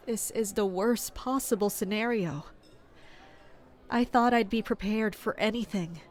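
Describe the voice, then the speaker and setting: A woman's voice: mid-range voice, unhurried, natural voice. A woman playing an anxious, stern attendant in an audio drama, speaking with exasperation. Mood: exasperated, anxious.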